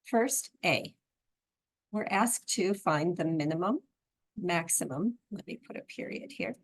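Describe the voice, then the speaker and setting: Hoarse woman teaching a spreadsheet lesson. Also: fairly low pitch, measured pace. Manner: calm step-by-step explaining. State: hoarse, froggy voice. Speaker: instructor. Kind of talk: screen-recorded software tutorial.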